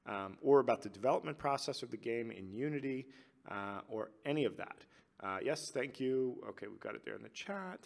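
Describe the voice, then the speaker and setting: Gravelly man hosting a live stream. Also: mid-range voice, expressive, brisk. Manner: loose and chatty, reading viewer chat aloud. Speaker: livestream host. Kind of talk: casual live-stream chat with viewers.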